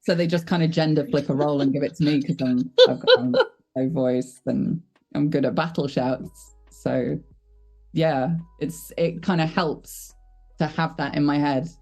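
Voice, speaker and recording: A woman with a low voice, a voice actor chatting casually in an interview, thoughtful and self-deprecating. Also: fairly even intonation, moderate pace, darkish tone, faint music behind. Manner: thoughtful, self-deprecating, laughs while talking. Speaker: voice actor guest.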